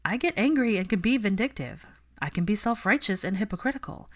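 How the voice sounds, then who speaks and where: A woman voicing an assertive, articulate adult witch from a story, formal and deliberate in manner. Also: mid-range voice, lively intonation, pitched above the performer's own voice, natural voice. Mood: earnest, firm.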